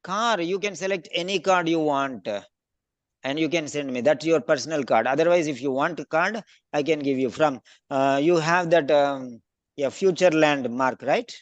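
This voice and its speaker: A man running a live online speaking class, his voice slightly raised and bouncing quickly from student to student by name. The sound is close and dry, with no room around it, heard over a video call. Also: on the high side, no room sound. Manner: brisk, calls on students by name. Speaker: English speaking teacher. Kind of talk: online class feedback round.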